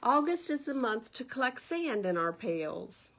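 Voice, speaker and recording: A woman's voice: a little high, measured pace, a little hiss or hum. A woman with an American accent hosting a storytime for young children, reading a picture book aloud and putting questions to her listeners in a teacherly way. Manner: animated teacherly asides, asking the listeners questions.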